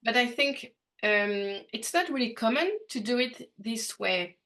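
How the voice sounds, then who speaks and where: A woman hosting a podcast interview in English, which is not her first language, pausing often with fillers and restarts. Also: fairly high pitch, lively intonation, pushed and bright. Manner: hesitant, many fillers and restarts. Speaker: podcast host.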